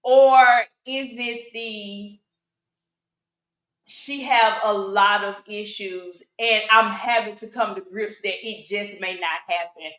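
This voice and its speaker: A woman co-hosting a reality TV opinion panel in a casual chat, animated and opinionated, with lots of exclamations. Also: mid-range voice, slow pace. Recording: echoey room.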